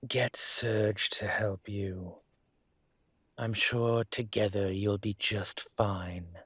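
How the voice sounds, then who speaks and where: Suave male dragon crime boss, acted by a man for roleplay audio: low, unhurried, commanding and flirtatious, recorded close and dry. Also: fairly even intonation, fairly bright tone, husky, dry recording, heavily acted. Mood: firm, exasperated. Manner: slow, deliberate, teasing. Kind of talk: roleplay audio acting, intimate.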